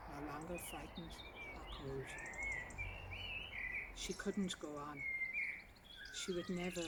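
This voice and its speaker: Low-voiced woman reading a story outdoors. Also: heavy background noise. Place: outdoors in a garden. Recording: outdoor garden recording, distant mic, birdsong. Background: birdsong.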